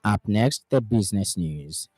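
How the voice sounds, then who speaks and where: A man with a Zambian accent reading a formal radio news bulletin in a measured, scripted delivery. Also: fairly low pitch, animated, over loud music.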